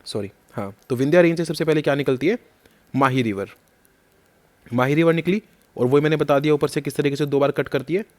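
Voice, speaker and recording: A man's voice: fairly high pitch, fairly quick, long pauses. A man teaching a map lesson for exam prep. He quizzes the listener and repeats the key names, and his throat is a little sore.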